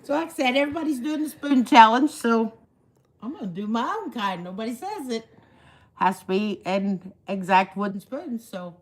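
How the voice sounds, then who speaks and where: Hoarse woman chatting in her shop. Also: on the high side, lively intonation, moderate pace. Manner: chatty, laughs at herself. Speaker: woodworking livestream host. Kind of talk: casual livestream chat.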